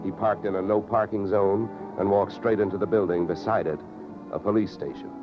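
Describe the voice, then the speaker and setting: An actor playing a wry, hard-boiled insurance investigator in old radio drama, dry and wisecracking. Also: male voice, on the low side, fairly even intonation, a little fast, over loud music, lightly acted. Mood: wry narrating. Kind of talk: 1940s-50s radio detective narration. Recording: muffled vintage radio recording.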